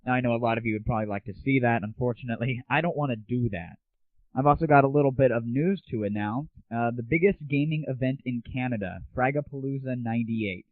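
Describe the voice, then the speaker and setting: A tinny, phone-like recording carries a man hosting a gaming show. He talks in a casual, irreverent monologue full of slang and crude cheek. His mid-pitched voice sounds close and dry. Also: fast, no echo. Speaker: host of an online gaming audio show. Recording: tinny, narrowband phone-like audio.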